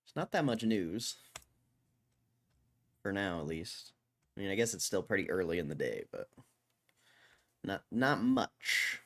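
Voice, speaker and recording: Laid-back male streamer with a lowish voice, going hoarse, mutters and swears casually at his desk mic. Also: big pitch swings, unhurried, long pauses, a bit bright. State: losing his voice, throat-clearing. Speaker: stock market live streamer. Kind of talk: casual live stream chat.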